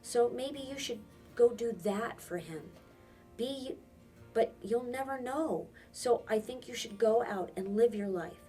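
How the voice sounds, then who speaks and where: A woman's voice: on the high side, measured pace, warm tone, recorded from a distance, faint music behind, pitched above the performer's own voice, natural voice. A woman reading a storybook and voicing an earnest, stubborn boy, speaking with insistent emphasis. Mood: earnest pleading.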